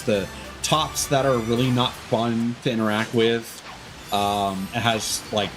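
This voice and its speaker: A man livestreaming games with casual, rambling, opinionated and sweary commentary. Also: mid-range voice. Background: game music.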